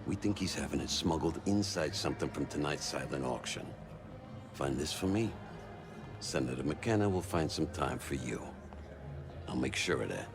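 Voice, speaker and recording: A very deep, gravelly spy fixer. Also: male voice, lively intonation, normal speed. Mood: smug, coaxing. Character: smug, wry old-school spy fixer doing shady deals. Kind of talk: video game cutscene acting. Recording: loud music behind the voice.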